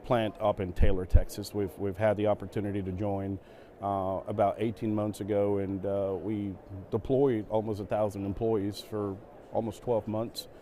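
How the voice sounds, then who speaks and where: A middle-aged man from Venezuela, a native Spanish speaker, answering questions on a conference stage in a relaxed way, with many fillers and repeated words. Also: on the low side, normal speed, a little hiss or hum. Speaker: guest, operations executive.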